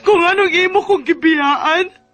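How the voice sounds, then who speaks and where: An actress playing an emotional, reproachful young jilted bride with a Filipino accent in a radio drama, with music underneath. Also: female voice, extremely high pitch, unhurried, fairly bright tone, no echo. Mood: hurt, reproachful.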